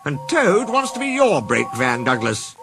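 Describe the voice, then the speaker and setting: A man's voice: on the high side, huge pitch swings, unhurried, creaky, music in the background, voice pushed up, full character voice. A man voicing a jovial, pompous railway controller in a storybook, hearty and full of authority. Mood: pleased.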